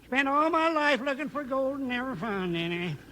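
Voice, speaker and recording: A man's voice: very high voice, sing-song, normal speed, bright, forceful tone, exaggerated voice. A male radio actor playing a cheerful, rambling old hard-of-hearing prospector with folksy frontier talk. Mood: cheerful, chatty. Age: older. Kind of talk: 1950s western radio acting. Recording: noisy vintage 1950s radio recording.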